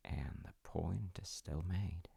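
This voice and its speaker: A man telling a story in an intimate roleplay as a dad, in a measured, wry way with dramatic pauses. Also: sits low, vocal fry, dark tone, slightly rough, dry recording.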